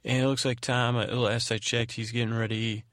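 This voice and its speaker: A man hosting a bedtime podcast in a meandering, halting monologue, often rephrasing himself, with a gravelly voice. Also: mid-range voice, flat delivery, fairly bright tone, no echo, studio quality. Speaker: podcast host. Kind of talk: rambling bedtime monologue and thank-you announcements.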